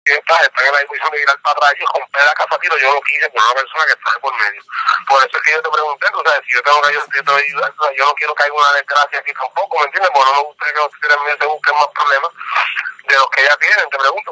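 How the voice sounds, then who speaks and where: A man talks fast and pressing, piling on threats as he keeps checking that he is understood. His voice is very bright and slightly high. It comes through a tinny, dry phone line. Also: flat delivery, no room sound. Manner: pressuring, intimidating, repeats "me entiendes". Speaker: phone scam caller. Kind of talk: phone call. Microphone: telephone.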